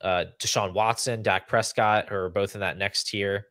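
Young guy podcast host going thru stats, kinda low voice, dry sound, explaining stuff conversationally. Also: steady tone, normal speed, fairly bright tone, no room sound. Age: young adult. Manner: explanatory, runs through figures and lists. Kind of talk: fantasy football analysis, conversational. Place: small room at home, indoors. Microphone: video call setup.

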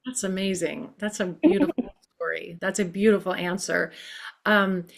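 A woman's voice: medium pitch, normal speed, gravelly. A middle-aged woman hosting a video-call interview from home, asking chatty questions with hesitations. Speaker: host. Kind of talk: casual interview. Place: home room.

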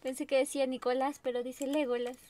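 A woman's voice: sits high, level tone, fast talker, mellow. A young woman with a Peruvian Spanish accent, chatting casually and thinking aloud while she plays a mobile game. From Peru. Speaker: gamer. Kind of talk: casual gameplay commentary.

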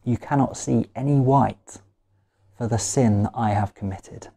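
A man preaches in an unhurried, deliberate way. His tone is mellow and relaxed, yet he leans on key words and repeats phrases for emphasis, leaving measured pauses between them. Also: mid-range voice, gravelly. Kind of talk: sermon. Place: small living room at home, indoors.

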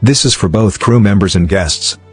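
A man narrates a scripted explainer in a low-pitched voice. His delivery is measured and formal, with music playing softly behind him. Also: breathy edge. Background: background music.

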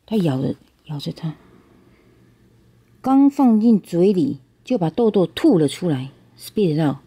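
A woman's voice: lowish voice, sing-song, unhurried, mellow, husky. A woman reads a picture book aloud to a child, explaining bilingually and checking understanding with questions. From Taiwan.

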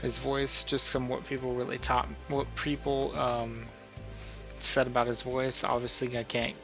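A man's voice: mid-range voice, monotone, a little slow, gravelly, a bit bright, faint music behind. A man talking informally through a tutorial, rambling a little as he thinks aloud. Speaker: video tutorial host. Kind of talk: casual tutorial commentary, explaining a role-play character profile.

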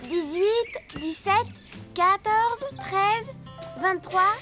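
Very high bossy little cartoon girl. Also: very slow, music in the background, full character voice. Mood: dismissive, concentrating. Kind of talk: children's cartoon dialogue.

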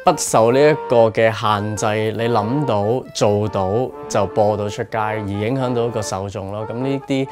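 A man's voice: lowish voice, moderate pace, hardly any pauses, over music. A man in his forties with a Hong Kong Cantonese accent, talking casually and reflectively in an interview in a small studio. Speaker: radio drama writer and performer being interviewed.

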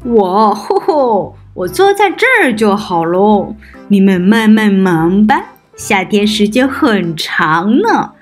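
A woman's voice: mid-range voice, big pitch swings, slow, hardly any pauses, faint music behind, pitched below the performer's own voice. A woman voicing a lazy, boastful grasshopper in a children's storybook, with a drawling manner. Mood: smug, relaxed.

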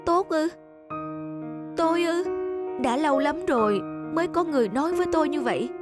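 An anxious, guilt-ridden young man in a cartoon drama, voiced by a woman. The voice sits high and sounds plaintive and easily startled. Music plays clearly behind the dialogue. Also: lively intonation, brisk. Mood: moved, disbelieving. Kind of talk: animated moral story dubbing.

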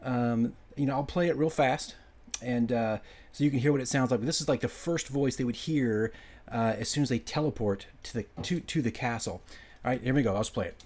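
A man working as a game master, giving an informal, enthusiastic, hurried screen-share walkthrough. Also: mid-range voice, noisy background. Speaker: game master making a prep video. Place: indoors at a computer, voice-over.

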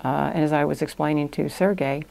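Low-voiced older woman with creaky edge. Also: fairly even intonation, a little fast, a little mellow. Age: older, about 60s or 70s. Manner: explanatory, step-by-step. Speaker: guest, language institute owner. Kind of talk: conversational interview answers. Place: small indoor podcast studio.

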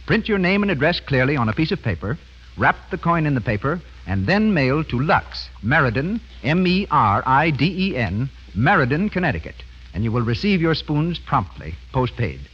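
Husky, animated 1930s American radio announcer. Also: male voice, on the high side, moderate pace. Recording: muffled 1930s radio broadcast recording.

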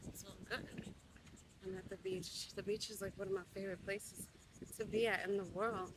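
A woman's voice: mid-range voice, fairly even intonation, normal speed, heavy background noise. A young woman vlogging casually to a handheld camera, chatty and upbeat with lots of fillers. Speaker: lifestyle vlogger. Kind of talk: casual vlog chat to camera. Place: beach, boardwalk and store. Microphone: handheld camera at arm's length.

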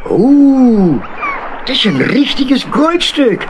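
An actor playing a businesslike lock-keeper in a children's audio drama. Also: male voice, very high-pitched, very animated, takes it slowly, background noise, exaggerated voice.

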